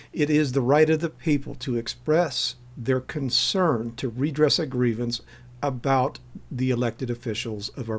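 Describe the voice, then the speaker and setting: American male commentator, medium pitch, pointed and emphatic, argumentative rebuttal delivery. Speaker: activist commentator giving a response.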